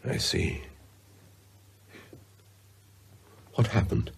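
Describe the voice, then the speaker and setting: A man's voice: moderate pace, natural voice. An actor playing an anxious, polite man troubled by night terrors in a horror radio drama, hesitant in his delivery. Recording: faint noise and ambience.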